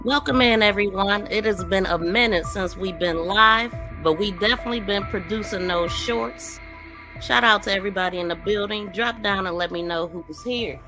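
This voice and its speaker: A woman's voice: medium pitch, normal speed, music in the background. A woman hosting a live true-crime commentary stream, talking informally and directly to her viewers in a casual, conversational way. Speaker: livestream podcast host.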